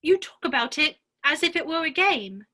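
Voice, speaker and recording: A woman's voice: high-pitched, big pitch swings, normal speed, bright, forceful tone, heavily acted. A woman playing a polite, earnest, curious little girl in a dramatic reading of a children's classic. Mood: polite, puzzled.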